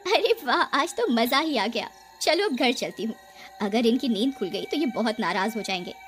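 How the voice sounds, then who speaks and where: Woman playing a high-pitched, expressive young village wife. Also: normal speed, soft background music. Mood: delighted. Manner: pleading, expressive. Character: playful young village wife, eager then frightened. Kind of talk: animated horror story dialogue.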